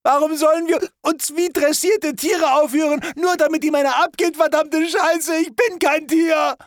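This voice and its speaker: A man's voice: extremely high pitch, steady tone, moderate pace, dry recording, studio quality, pitched above the performer's own voice. A man voicing a soaked, weeping young female captive who screams in hysterical defiance. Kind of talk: audiobook reading.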